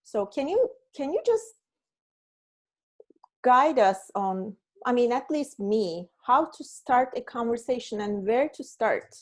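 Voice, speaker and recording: Woman from Turkey, host, unhurried, hesitant, feeling her way through sentences. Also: a little high, lively intonation. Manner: hesitant, searching for words. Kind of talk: casual conversation.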